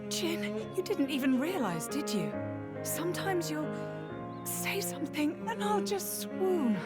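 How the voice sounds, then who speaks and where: An actress playing a kind, teasing young woman in a video game cutscene, with an expressive, girlish delivery. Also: sits high, unhurried. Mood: flustered teasing. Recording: loud orchestral music behind.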